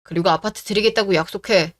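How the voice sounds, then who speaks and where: A woman's voice: medium pitch, quick pace, natural voice. A woman voicing an entitled young male fiancé who talks in a demanding, sneering way in a story radio drama. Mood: angry.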